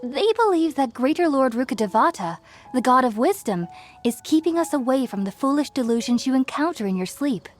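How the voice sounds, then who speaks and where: A woman playing an earnest, gentle young researcher in a video game, explaining things in a thoughtful, articulate way. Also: high voice, expressive, fairly quick. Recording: music behind the voice.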